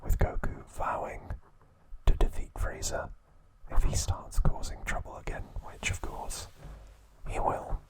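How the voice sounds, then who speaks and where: A man hosting an ASMR channel, whispering trivia softly and gently. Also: poor audio. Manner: soft unvoiced whisper, slow and gentle.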